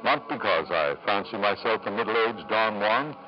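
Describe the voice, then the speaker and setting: Actor as self-satisfied middle-aged tycoon, old radio sound. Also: male voice, lowish voice, animated, faint music behind, lightly acted. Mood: confessional. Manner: halting, smooth-talking, insistent. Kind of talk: 1950s radio drama acting. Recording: dull, noisy 1950s radio recording.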